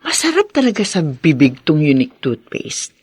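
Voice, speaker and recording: A woman acts out a devoted middle-aged wife and mother in a radio-style drama. Her voice is clean and close with little room sound, slightly husky, and it swings widely in pitch with anxious, drawn-out emotion. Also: wildly swooping pitch, no room sound, full character voice. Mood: puzzled, gentle. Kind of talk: dramatic audio drama acting in Tagalog.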